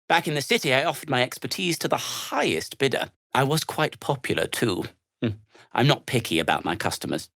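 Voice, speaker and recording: A male deep gnome tinkerer from a fantasy video game, an earnest curmudgeon with a worried streak. He speaks in a formal, wordy and dry manner at a middling pitch that rises and falls expressively. The recording is studio-dry with no room sound. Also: pushed and bright, no echo, full character voice. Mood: wry, proud. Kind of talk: video game character dialogue.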